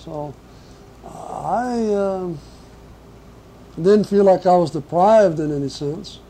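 An older man from Portugal recalls the past for an interviewer, halting often as he gathers his thoughts. His voice sits high for a man yet sounds deeply dark and mellow. A faint hiss runs under the recording. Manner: halting, reflective, slow recall. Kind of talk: oral history reminiscence.

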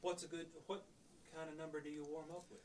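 A man with a slightly high voice asks questions hesitantly, stumbling and repeating words. He sits some way from the mic, and a clear hum of room noise runs underneath. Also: flat delivery, quick pace. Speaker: interviewer. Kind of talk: casual field interview. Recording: distant mic, noisy room.

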